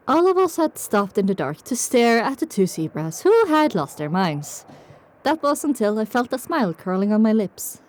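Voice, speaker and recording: A woman's voice: mid-range voice, darkish tone, natural voice. A woman playing a reflective pony survivor, narrating her own story in a dramatic audiobook style. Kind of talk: dramatic first-person scripted narration.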